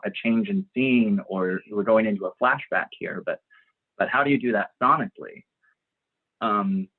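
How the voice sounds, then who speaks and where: A man's voice: lowish voice, breathy edge, narrow, tinny audio. A man explaining his production work conversationally and reflectively, heard through thin call audio.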